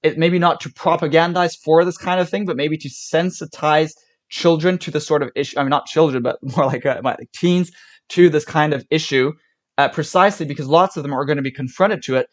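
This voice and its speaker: A man's voice: a little high, a little fast. A young man from Switzerland reacting to videos on a live stream, talking in rambling bursts with restarts and laughs. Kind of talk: casual reaction commentary.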